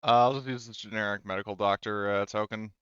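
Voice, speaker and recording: Male game master, casual and meandering, clean smooth tone, lo-fi dry sound. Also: fairly low pitch, fairly even intonation, fast, fairly bright tone, no echo. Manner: rambling, restarts sentences often. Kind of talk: casual tabletop game narration.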